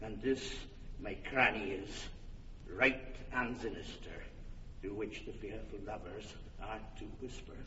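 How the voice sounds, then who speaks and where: Earnest, ponderous amateur player: slow comic verse, muffled, roomy recording. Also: male voice, fairly even intonation, bright, forceful tone. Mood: solemnly earnest. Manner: ponderous, over-deliberate declamation. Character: solemn, plodding amateur player presenting himself as a wall. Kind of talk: classical comic stage verse acting. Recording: distant, muffled echoey hall with rumble.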